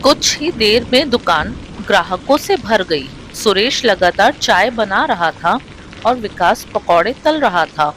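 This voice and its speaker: Lady storyteller, slow scripted narration, rain and thunder noise in the back. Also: mid-range voice, expressive, fairly bright tone, rough recording.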